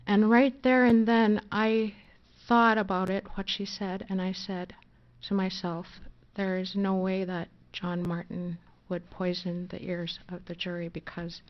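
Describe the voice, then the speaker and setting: A soft-spoken woman giving a nervous, halting closing statement in a courtroom, speaking through a courtroom microphone. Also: medium pitch, flat delivery, lo-fi sound. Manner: hesitant, halting with fillers and restarts. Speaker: defendant giving closing argument.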